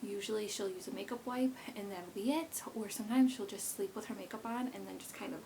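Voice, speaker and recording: A woman in her thirties chats through a beauty vlog in a loose, rambling way, full of fillers and restarts. Her voice has a gravelly, creaky texture at a middle pitch. A faint hiss sits under the recording. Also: fast, mellow, low-quality recording. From South Korea. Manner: chatty and rambling, many fillers. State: pregnant. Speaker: beauty vlogger. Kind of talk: casual talk to camera.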